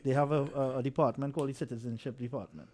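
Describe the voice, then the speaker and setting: A man's voice: mid-range voice, steady tone, rapid-fire, darkish tone. A man, an attorney guest on a radio show, explaining procedures with many restarts and fillers. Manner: explanatory, frequent restarts and filler, chuckling anecdotes. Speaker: immigration attorney, studio guest. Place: radio studio. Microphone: studio microphone, headphones.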